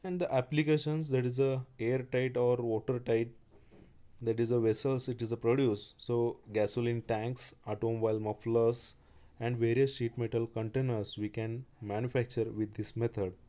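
A man giving a slide-based technical lecture, speaking haltingly with frequent restarts and fillers. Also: mid-range voice, steady tone, dark tone. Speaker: engineering lecturer.

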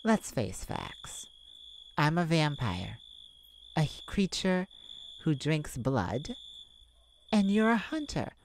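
A woman voicing a playful, flirtatious immortal vampire in an intimate ASMR roleplay, teasing and dramatic with lingering pauses. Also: low voice, very animated, slight background noise, full character voice. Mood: serious, wistful.

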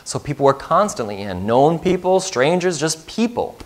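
A man's voice: on the high side, very animated, moderate pace. A man in his thirties preaching a sermon as pastor, earnest and putting questions to the congregation, recorded in a church sanctuary.

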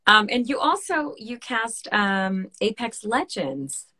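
A woman's voice: on the high side, expressive, slow, bright, forceful tone. A woman hosting an interview podcast, asking friendly questions with small laughs. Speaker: podcast host. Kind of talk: casual interview.

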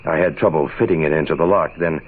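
Very deep-voiced hard-boiled detective. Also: male voice, fast. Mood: tense, deadpan. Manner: clipped, deadpan. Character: terse, hard-boiled private investigator. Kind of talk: 1960s radio noir narration and dialogue. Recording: hissy, phone-like vintage radio recording.

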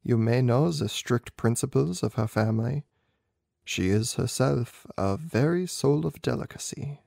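A man's voice: mid-range voice, measured pace, creaky, a little mellow, no room sound, clean studio sound. A man voicing an anxious, proud young king in a storybook reading, solemn and emphatic. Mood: grave. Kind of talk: amateur audiobook character reading.

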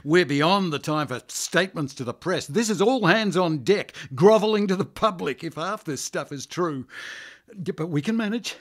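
A fussy public relations man played by a man frets over a crisis and leans on grand analogies. His voice sits a little high and swings animatedly up and down. A radio comedy performance. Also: animated, normal speed. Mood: flustered. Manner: flustered, fussy, fond of metaphors. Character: flustered male PR manager, fond of analogies.

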